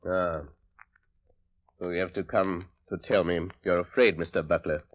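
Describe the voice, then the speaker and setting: An actor playing a cold, scheming male villain in a 1930s radio drama, smooth and mocking. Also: sits low, steady tone, measured pace. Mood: silky menace. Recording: dull old radio recording.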